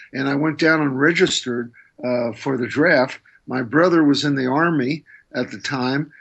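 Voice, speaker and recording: A man in his sixties, a radio interview guest, telling his story in a thoughtful, hesitant way with many pauses. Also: a little high, gravelly, fairly bright tone, breathy edge, lo-fi sound. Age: 60s. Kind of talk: interview, recounting memories.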